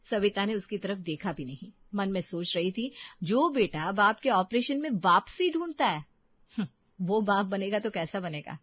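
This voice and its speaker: A woman narrating a moral story dramatically, in deliberate short phrases. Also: mid-range voice, no echo, highs cut off.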